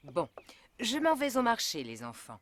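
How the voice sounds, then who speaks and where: A woman's voice: on the high side, wildly swooping pitch, moderate pace, a crowd in the background. A woman voicing a caring, firm mother goat in a French cartoon, motherly and instructing. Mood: gentle. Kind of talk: French cartoon dub acting.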